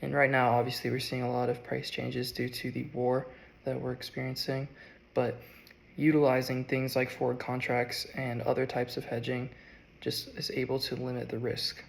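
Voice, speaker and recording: A young man, sick and strained, giving a halting class presentation. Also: medium pitch, completely flat delivery, gravelly. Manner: halting, with frequent ums and uhs. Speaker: student.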